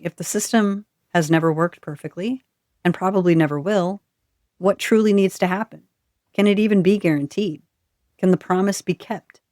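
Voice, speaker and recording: Woman doing scripted podcast narration, lowish voice, really dry close sound no room. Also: a little mellow, dry recording. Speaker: podcast host.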